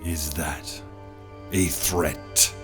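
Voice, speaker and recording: A man's voice: extremely low pitch, fairly even intonation, very slow, over music, exaggerated voice. A man playing a skeptical, mocking council member in an audio drama.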